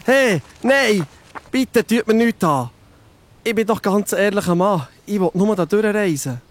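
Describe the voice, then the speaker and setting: Injured, pleading traveller, high voice, halting and groaning, Swiss German accent, slightly noisy recording. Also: male voice, animated. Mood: frightened pleading. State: in pain. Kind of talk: children's audio drama.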